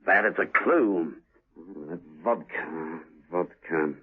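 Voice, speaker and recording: An actor playing a suave, brooding gentleman secret agent in a 1970s radio drama, clipped and wry. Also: deep voice, slow pace, husky, heavily acted. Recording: narrow muffled 1970s radio recording.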